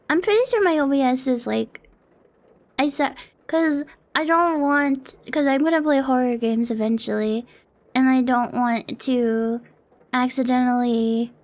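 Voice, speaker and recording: High-voiced, unhurried young woman streamer. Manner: rambling, self-deprecating, swears casually. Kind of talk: casual stream chat. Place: home apartment streaming setup. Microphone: close desk mic on an arm.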